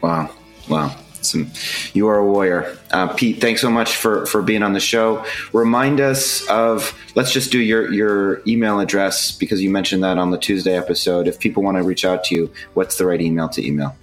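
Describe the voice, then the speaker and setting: A male podcast host with a low voice speaks in an upbeat, friendly way. He recaps and closes the show over faint music. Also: normal speed. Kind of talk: podcast interview.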